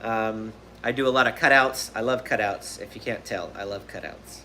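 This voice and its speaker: Bright-voiced chatty man in his thirties. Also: lowish voice, normal speed, slight background noise. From Southern California. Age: 30s. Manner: chatty, rambling with fillers and restarts. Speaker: livestream host. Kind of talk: casual live Q&A chat.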